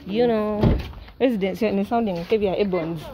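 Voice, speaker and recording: A woman's voice: medium pitch, normal speed, noisy background. A young woman vlogging by phone outside, playful and laughing, switching between languages. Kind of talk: casual vlog chat.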